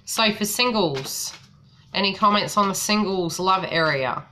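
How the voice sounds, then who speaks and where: A woman from New Zealand with a softened New Zealand accent, chatting casually as a psychic tarot reader on a live stream. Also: medium pitch, unhurried, a little hiss or hum, poor audio. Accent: New Zealand, softened by Australian.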